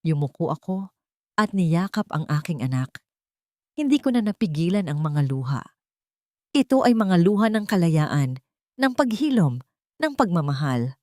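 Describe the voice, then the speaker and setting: A woman's voice: fairly low pitch, very animated, normal speed, pauses often, warm tone, dry recording, studio quality. A woman reading a scripted first-person dramatic story as its narrator.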